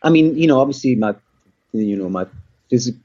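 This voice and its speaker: A man from Denmark in his thirties chats as a musician guest, his pitch sitting at a middling level. He talks in a loose, rambling way, full of ums and restarts. The recording sounds dull and lo-fi. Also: lively intonation, fairly quick, mellow. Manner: rambling, many fillers and restarts. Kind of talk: casual interview chat. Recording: dull lo-fi audio.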